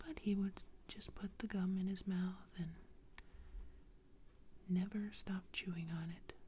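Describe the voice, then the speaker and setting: A woman improvising a bedtime story in a soft, unvoiced ASMR whisper to help listeners sleep. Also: medium pitch, steady tone, measured pace, slight background noise.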